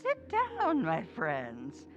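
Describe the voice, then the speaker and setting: A woman playing a ghostly old woman, slow, ominous and mysterious, in an old-time radio drama. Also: mid-range voice, wildly swooping pitch, over music, full character voice. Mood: ominous, commanding. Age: older.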